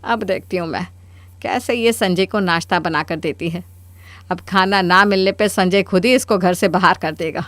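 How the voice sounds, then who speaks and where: A woman's voice: fairly low pitch, normal speed, fairly bright tone, dry recording, natural voice. A woman playing a stubborn, scheming middle-aged mother-in-law in a Hindi cartoon story, with a theatrical, scolding delivery.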